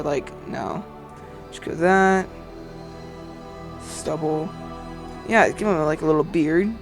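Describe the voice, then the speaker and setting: Young male let's-player, high voice, crude jokes, headset mic. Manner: excitable, crude, joking. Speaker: gaming video host. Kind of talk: casual let's play commentary. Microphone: gaming headset.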